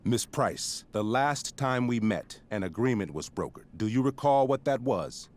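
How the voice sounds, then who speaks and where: A man's voice: mid-range voice, expressive, measured pace, natural voice. A man playing a stern, pompous middle-aged school principal in a dramatic video game, speaking in formal, wordy phrases.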